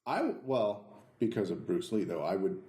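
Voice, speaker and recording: A man's voice: fairly low pitch, big pitch swings, moderate pace, mellow. A man chatting casually as a podcast co-host, hesitant as he thinks his way aloud through guesses. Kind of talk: casual chat. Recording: echoey room.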